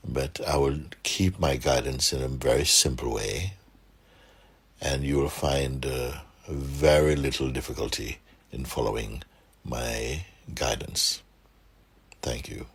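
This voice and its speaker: A man guiding a meditation for a group, speaking softly and calmly with gentle pauses and a husky, breathy voice. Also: very deep voice. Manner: unhurried, gentle pauses, calm instruction. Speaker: meditation guide. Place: room with a seated group, doors closed.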